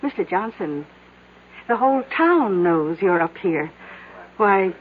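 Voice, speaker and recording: A woman's voice: medium pitch, expressive, slow pace. An actress playing a bold, sly small-town American woman, politely accusing, in a radio drama. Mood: coolly accusing. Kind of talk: 1970s radio drama acting. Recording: old tinny narrow-band radio, faint music.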